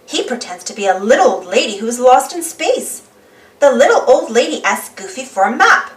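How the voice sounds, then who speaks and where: A woman narrating a children's storybook in an animated read-aloud style. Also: fairly high pitch, moderate pace, distant mic. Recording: roomy, voice away from microphone.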